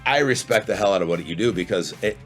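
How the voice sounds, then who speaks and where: A man's voice: lowish voice, expressive, fast, fairly bright tone, soft background music. A man guesting on a podcast, explaining his points with many restarts. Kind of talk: casual podcast discussion.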